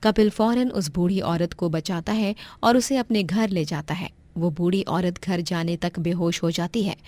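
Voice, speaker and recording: A woman's voice: lowish voice, moderate pace, darkish tone, dry recording. A woman narrating a scripted moral story as a storyteller.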